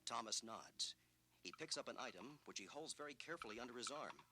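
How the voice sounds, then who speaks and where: A man's voice: mid-range voice, animated, brisk, background noise. A man giving dramatic scripted narration in the style of a 1980s children's adventure record. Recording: loud music under narration.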